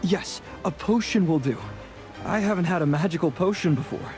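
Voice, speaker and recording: Breezy, higher-pitched roguish male adventurer, acted by a man. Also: expressive, moderate pace, a little mellow, faint music behind, lightly acted. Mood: earnest, casual. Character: roguish, charming, chatty adult male sea adventurer. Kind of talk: voiced dialogue in a fantasy game.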